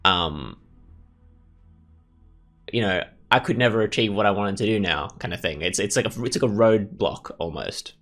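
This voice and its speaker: Male let's play host, lowish pitch, informal and tentative thinking-aloud delivery, music in the background. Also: expressive, normal speed, long pauses, fairly bright tone. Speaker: gaming host. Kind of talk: casual let's play commentary. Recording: music playing behind commentary.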